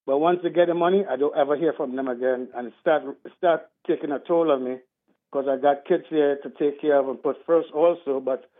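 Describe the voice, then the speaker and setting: Caller: man, rambling and earnest, fairly high voice over a tinny phone line. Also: steady tone, a little fast. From Trinidad. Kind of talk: call-in talk radio.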